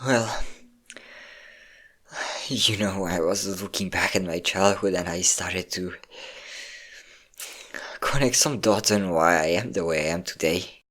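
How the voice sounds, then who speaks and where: Man acting depressed young guy, lowish voice, tearful, halting, self-deprecating, sighing, confiding in best friend, intimate audio roleplay. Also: fairly bright tone, heavily acted. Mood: vulnerable, halting. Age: young adult.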